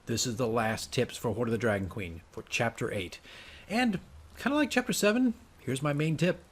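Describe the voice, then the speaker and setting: A man's voice: mid-range voice, lively intonation, normal speed, husky. A man talking casually as a dungeon master, working from notes and often checking in with "right?". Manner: chatty, self-correcting, often tags sentences with "right?". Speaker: dungeon master giving game tips.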